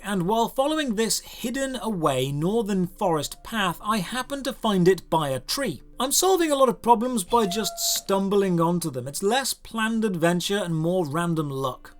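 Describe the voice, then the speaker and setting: A man with a notably high-pitched voice delivers a scripted video game review as voice-over. His delivery is dry and sarcastic, leaning into theatrical emphasis to make a point.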